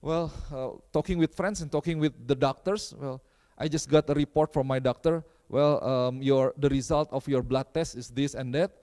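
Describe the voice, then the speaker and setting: A man with an Indonesian accent preaching a sermon in a church, mixing languages and pausing on fillers. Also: medium pitch, warm tone. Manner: switches between English and Indonesian, many fillers. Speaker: pastor. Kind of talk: bilingual sermon. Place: church hall. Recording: long hall reverb.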